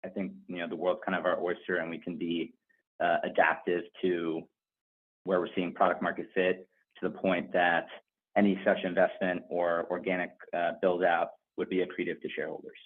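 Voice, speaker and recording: A man's voice: low voice, level tone, normal speed. A male company executive giving unscripted answers on an earnings conference call. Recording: narrow, phone-like conference call line.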